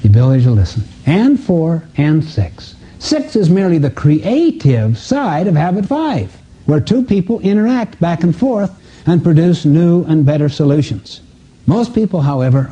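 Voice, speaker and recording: Man, dark soft tone, very lively pitch, emphatic seminar speaker, rhetorical questions, dull muffled recording. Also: normal speed, breathy edge. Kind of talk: live self-help seminar talk, teaching with acted-out dialogues. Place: seminar room before an audience.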